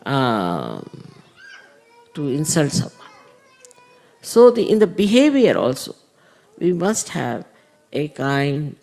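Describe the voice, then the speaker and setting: A middle-aged man gives an unhurried spiritual talk to followers, explaining with many "uh" pauses. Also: a little high, gravelly, darkish tone. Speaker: spiritual teacher.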